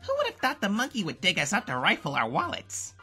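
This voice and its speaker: A man's voice: high-pitched, huge pitch swings, fast, pushed and bright, full character voice. A man voicing a small, gleefully violent, childlike rabbit in a comic dub, with music behind.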